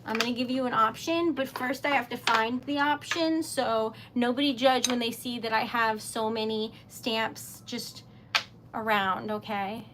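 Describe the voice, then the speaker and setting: High-voiced Rhode Island woman, slow and giggly. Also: noisy background. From New England. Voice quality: giggly, chatty, rambling. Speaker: livestream host. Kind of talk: casual chat while crafting.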